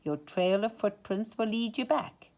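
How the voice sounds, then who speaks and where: A woman reading a children's picture book aloud in an expressive, dramatic storytime style. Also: medium pitch, unhurried. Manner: dramatic, drops into hushed whispers for suspense. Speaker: storytime reader.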